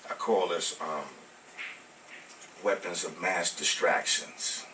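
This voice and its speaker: An American man from Brooklyn performing spoken word poetry live, with emphatic, deliberate phrasing. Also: deep voice, steady tone. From Brooklyn, New York, United States. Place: live poetry venue with small audience. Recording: noisy, distant amateur recording of live venue.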